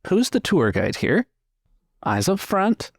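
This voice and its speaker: An officious, huffy tour guide in a tabletop game, played by a man, pitched well up from a natural speaking voice. He lectures primly and draws out his phrases. The recording is close and dry. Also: huge pitch swings, takes it slowly, no room sound, full character voice. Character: prim, huffy male tour guide reciting dubious local history.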